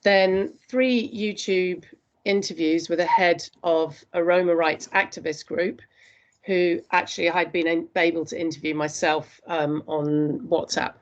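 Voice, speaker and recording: A woman presenting her postgraduate dissertation research in an earnest, steady academic talk, with frequent hesitations. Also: on the low side, measured pace, muffled recording.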